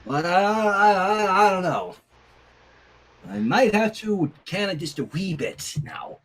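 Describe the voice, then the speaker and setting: A fan fiction reader narrating a story in an animated style with casual asides. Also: mid-range voice, takes it slowly.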